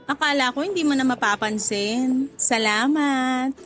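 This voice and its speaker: High-pitched flirty, touchy cassava vendor. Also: female voice, a little slow, a bit bright, soft background music. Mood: coy, flustered. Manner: playful banter turning to indignant huffs. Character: flirty, easily jealous female cassava cake vendor, teasing and touchy. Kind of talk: Tagalog radio drama acting.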